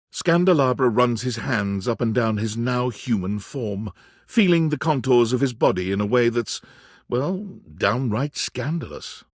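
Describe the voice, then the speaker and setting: A man reading scripted second-person game narration in a theatrical, wry storytelling manner with dramatic pauses. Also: medium pitch, husky, no room sound, clean studio sound.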